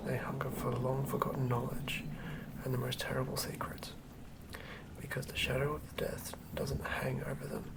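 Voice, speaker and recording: An ASMR host speaking in a soft, breathy whisper, gently and quietly sharing tabletop fantasy material. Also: medium pitch, poor audio. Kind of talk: whispered ASMR, chatting and reading aloud from a game manual.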